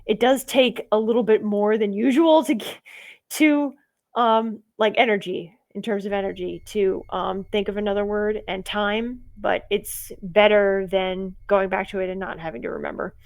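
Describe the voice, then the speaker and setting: Chatty woman streamer, slightly raspy. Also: medium pitch, fairly even intonation, moderate pace. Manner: chatty, rambling asides. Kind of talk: casual live-stream chat while writing.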